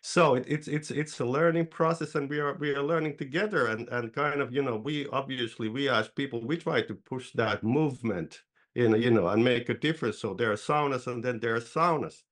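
A man from Finland with a Finnish accent, a podcast host talking on a video call, halting with many restarts. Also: mid-range voice, a bit bright. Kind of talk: casual interview talk.

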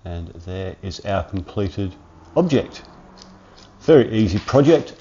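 A man in his forties with a cold and sniffles, chatting through a hands-on workshop tutorial in a relaxed, rambling way from his home garage. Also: lowish voice, slow, highs cut off, a little hiss or hum. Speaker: workshop channel host.

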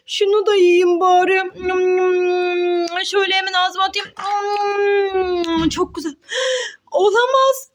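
Children's toy skit: female performer as excitable glutton, extremely high voice, exclamatory and melodramatic. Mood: greedy delight. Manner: exclaiming, gasping, melodramatic, talks to itself. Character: gluttonous excitable toy character sniffing out hamburgers, scheming cook.

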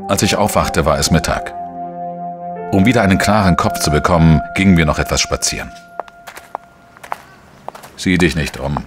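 An actor playing a world-weary, wry male investigative journalist, narrating a crime audio drama in a dry, measured way. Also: extremely low pitch. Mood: weary, matter-of-fact. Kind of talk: scripted first-person crime drama narration. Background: music.

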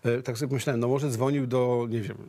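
A man's voice: mid-range voice, quick pace. A middle-aged man co-hosting a satirical politics show in a TV studio, joking and acting out imagined dialogue, on a lapel mic. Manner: jokey, animated, acts out imagined dialogue.

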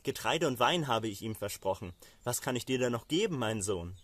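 A man's voice: medium pitch, animated, brisk, fairly bright tone, dry recording. A solemn old patriarch , read by a male storyteller, wary and formal as he speaks to his sons. His words are weighty pronouncements from a storybook world. The sound is dry and close. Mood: dismayed.

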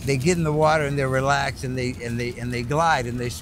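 A man with a medium-pitched voice explains things casually, like a practical trainer talking among others. He repeats phrases as he goes. There is faint ambient noise behind him. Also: steady tone, fast. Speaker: dog trainer being interviewed. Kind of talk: casual explanation in group chat.

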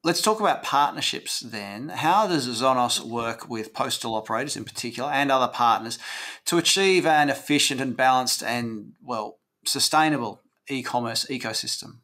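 Guy in his 40s interviewing someone on a video call, mid-pitched voice, chatty. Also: moderate pace, bright, forceful tone. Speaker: podcast host, interviewer. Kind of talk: conversational interviewing. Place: indoor office, video call.

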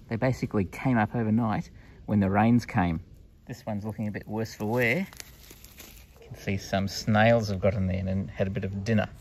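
A man in his forties with an Australian accent and a husky voice, giving a simple explanatory nature talk for children outdoors. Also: on the low side, slight background noise. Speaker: pastor presenting a children's story. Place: outdoors in bushland.

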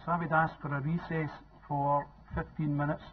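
Firm councillor, tinny audio. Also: male voice, fairly high pitch, little pitch movement, moderate pace. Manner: firm, insistent. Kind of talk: objecting in a council debate. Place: council chamber, indoors. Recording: tinny phone-like sound, faint noise.

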